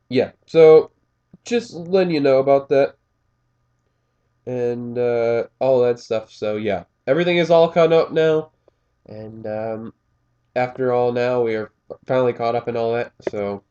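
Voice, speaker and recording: A man's voice: medium pitch, warm tone, highs cut off. A man with a slightly scratchy voice doing casual play-by-play commentary of a race, rambling with fillers and correcting himself as he goes.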